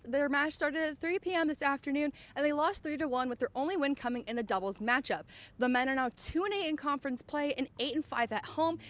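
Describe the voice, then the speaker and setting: Young woman with high voice, field report. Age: young adult. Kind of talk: live sports report.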